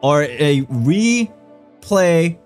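A man's voice: fairly high pitch, very animated, very slow. A young man giving casual live stream commentary and speculation as a game streamer, recorded on a studio mic in a room at home. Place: small furnished room at home. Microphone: large studio mic close by. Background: faint game music.

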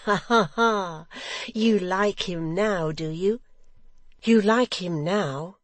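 A woman voicing an eager, simple-minded young man with childlike trust in an audiobook, in an excitable, wondering singsong. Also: on the low side, slow, no room sound, voice pushed up. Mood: earnest, proud. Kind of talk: audiobook character acting.

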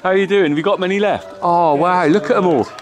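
A man's voice: high voice, fast talker, music in the background. A middle-aged man, chatting cheerfully to his handheld camera as a farmer vlogger, asking plenty of questions. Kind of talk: casual vlog chat. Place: outdoors on a farm and in a car. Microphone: handheld camera selfie. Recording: noisy outdoor camera audio.

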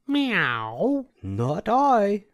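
A lazy children's storybook cat, voiced by an older man. It mews and answers briefly in a very high pitch, well above a man's natural voice. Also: wildly swooping pitch, drawn-out words, full character voice. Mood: dismissive. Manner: short, mewing replies.

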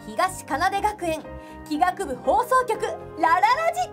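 A woman playing a cool, scheming high school club president in an anime radio drama, matter-of-fact and teasing. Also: animated, slow pace, music in the background, full character voice. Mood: composed.

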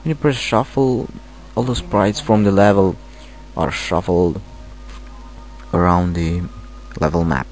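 A man with a Romanian accent, not a native English speaker, halting through a screen-recorded software tutorial with many fillers. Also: sits low, soft background music, low-quality recording. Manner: hesitant, halting, filler-heavy. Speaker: tutorial maker.

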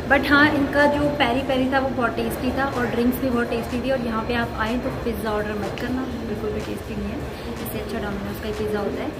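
A woman's voice: fairly high pitch, level tone, quick pace, heavy background noise. A young woman vlogger chatting casually and frankly to a phone held at arm's length, mixing words into her talk. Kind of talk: casual food vlog chat. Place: outdoors on city streets and in a metro station.

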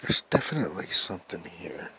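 A man in his forties filming a ghost-hunting vlog on a handheld phone in a dark basement, talking low and whispering, swearing often. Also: very animated, a little fast, slightly rough. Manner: hushed, swearing, whispering at times. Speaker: vlogger. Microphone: handheld phone camera.

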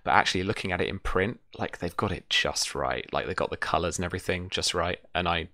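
A man's voice: low voice, quick pace, pushed and bright, no echo. A man in his thirties chatting casually and enthusiastically as a game host, swearing freely, close to the mic on a video call. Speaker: game master and channel host. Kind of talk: casual post-game chat. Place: indoor room at home, on a video call.

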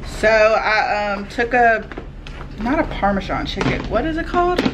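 A young woman in her twenties talks casually into a phone held at arm's length, her voice pitched in the middle range. She rambles along with plenty of "like" and "literally". Faint room noise sits under her voice in a small home. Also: expressive, a little slow, fairly bright tone, rough recording. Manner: chatty, many fillers like "like" and "literally". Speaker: vlogger. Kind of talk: casual chat to camera. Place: small home, living room then kitchen, indoors. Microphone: phone camera at arm's length.

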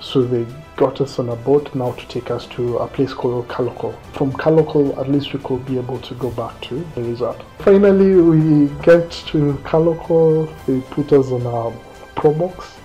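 Kenyan man, thirties, interview storyteller, relaxed dark tone over light background music. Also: mid-range voice, normal speed, hardly any pauses, rough recording. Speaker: interviewee recounting his own trip. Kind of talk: conversational storytelling to camera. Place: small quiet indoor room, seated. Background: faint music.

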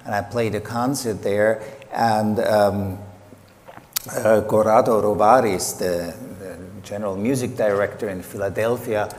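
A man from Switzerland, a composer on a panel, telling a story in a relaxed way with fillers. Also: lowish voice, measured pace, a little hiss or hum. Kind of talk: conversational storytelling. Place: large theater stage. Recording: reverberant hall with faint ambience.

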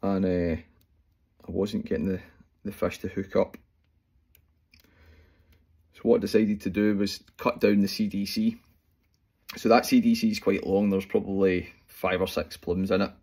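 A Scottish man in his forties talks through tying a fly, relaxed and unhurried, with lots of ums along the way. His voice sits low and a little husky. He is recorded close up on a phone in a small room at home. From Scotland. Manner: relaxed, explains step by step with fillers while working. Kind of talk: casual talk-through tutorial. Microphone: phone camera close up.

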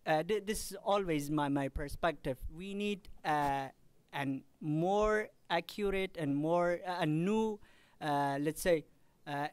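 A man from Afghanistan in the audience, commenting at a conference in halting, careful English full of pauses and fillers. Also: on the high side, smooth, no echo. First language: not Arabic; Afghan language. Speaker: audience member asking a question.

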